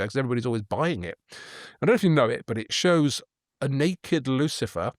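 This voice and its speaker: A man chatting about art with playful, eager storytelling at a middling pitch. The recording is dry and close. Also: no room sound. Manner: enthusiastic, playful storyteller asking questions. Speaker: podcast co-host. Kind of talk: casual art history chat.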